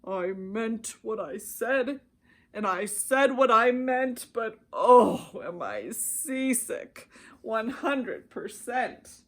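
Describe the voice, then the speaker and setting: A woman voicing a faithful, gentle, long-suffering male elephant from a storybook, speaking in earnest, emphatic declarations. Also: a little high, expressive, extremely slow, full character voice. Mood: weary, miserable.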